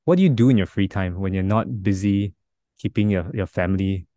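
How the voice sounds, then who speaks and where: A man's voice: low-pitched, warm tone, dry recording, studio quality. A man in his forties hosting a podcast, talking casually with many restarts. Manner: halting, many restarts and fillers. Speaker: podcast host.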